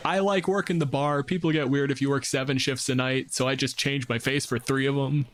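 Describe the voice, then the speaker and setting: A man's voice: medium pitch, steady tone, fairly quick. Male podcast panelist, middle register, informal and riffing, slipping into small acted asides. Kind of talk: casual, joking panel chat.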